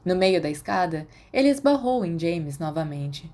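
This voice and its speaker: Mellow, low-pitched Brazilian woman narrating. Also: very animated, normal speed. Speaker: audiobook narrator. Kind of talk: scripted fan fiction narration.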